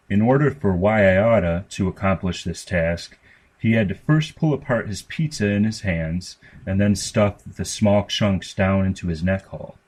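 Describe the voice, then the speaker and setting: Deep raspy guy narrating a short story, deadpan, matter of fact. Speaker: story reader. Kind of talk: deadpan scripted narration of grotesque fiction.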